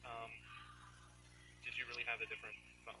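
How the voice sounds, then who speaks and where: A man's voice: medium pitch, completely flat delivery, brisk, pushed and bright, slight background noise. An American man on a tinny phone line, asking probing questions in an insistent, methodical way. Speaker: brother questioning an inmate by phone.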